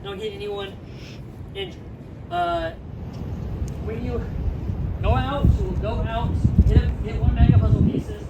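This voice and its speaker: A man with a high, light voice explains the rules of a backyard challenge, stopping often to find his words. He sounds far from the mic, and loud outdoor noise runs under him. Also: echoey room, phone-quality sound. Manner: halting, fills pauses with uh. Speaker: challenge host. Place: outdoor front yard.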